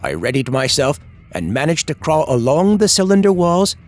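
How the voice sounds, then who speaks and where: A man's voice: a little high, big pitch swings, moderate pace. A man reading a scripted first-person horror story in a suspenseful, dramatic manner. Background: music.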